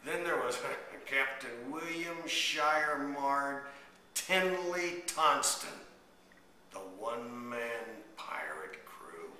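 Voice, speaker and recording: A middle-aged man playing a wry, deliberate seafaring storyteller in a pirate radio play. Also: medium pitch, lively intonation, sharp tone, heavily acted. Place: kitchen or dining room, indoors. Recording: very echoey room, distant, faint noise.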